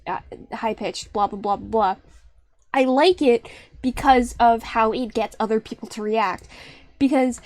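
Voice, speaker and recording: A young woman streamer chatting casually on a live stream, rambling and restarting her sentences, often breaking into laughter. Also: a little mellow. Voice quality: high-pitched.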